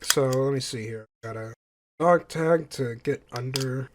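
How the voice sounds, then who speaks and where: A man's voice: medium pitch, a little slow, noisy background. A young man hosting his own podcast in casual solo chat, stuffy-nosed from a cold, rambling and repeating himself as he corrects his own phrasing. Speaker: podcast host. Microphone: home podcast microphone.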